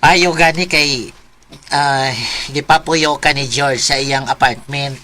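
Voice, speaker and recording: An actress playing a sympathetic, gossipy friend in a conversational radio drama scene. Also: female voice, low voice, fairly even intonation, slow, pushed and bright, a little hiss or hum, exaggerated voice. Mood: concerned, indignant. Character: sympathetic, gossipy female friend, indignant on her behalf.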